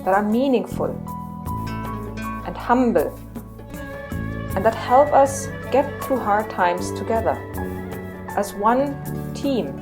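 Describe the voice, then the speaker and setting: A woman with a German accent reading a slow, reflective scripted voice-over. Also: medium pitch, expressive. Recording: voice-over with music bed.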